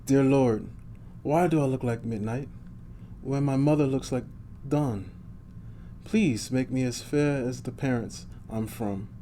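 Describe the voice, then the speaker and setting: A man's voice: mid-range voice, measured pace, pauses often, dark tone, lightly acted. Storybook child: male reader as a sad, earnest young girl, pleading, with noise behind. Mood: pleading, hopeful.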